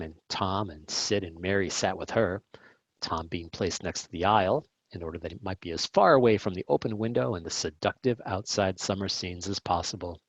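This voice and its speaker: A man's voice: lowish voice, no echo, low-quality recording. A man reading a classic novel aloud as a volunteer narrator, in a scripted storytelling style. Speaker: volunteer reader for a community reading program.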